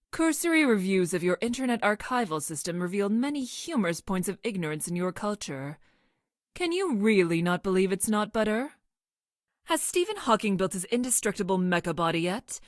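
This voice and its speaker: A woman playing a logical young woman scientist from the future in a video game, speaking in formal, clinical, precise deadpan. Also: mid-range voice, no room sound, clean studio sound, natural voice. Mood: clinical, curious. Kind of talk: video game character voice lines.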